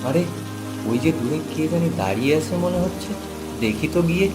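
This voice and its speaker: A man's voice: on the high side, animated, fairly quick, natural voice. A man playing a poor, humble, hardworking village husband in a moral-story cartoon, with rain sounds behind him. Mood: worried. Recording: music and rain under the voice.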